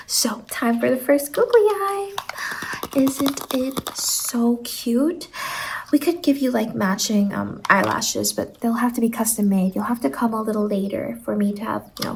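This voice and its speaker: A woman playing a quirky, doting fantasy salon worker in an ASMR roleplay, speaking softly and slipping in and out of whispers. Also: a little high, slight background noise, rough recording. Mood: gentle, encouraging. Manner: soft-spoken, drifting in and out of whispers. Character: Mazey, quirky doting female fever-dream salon worker.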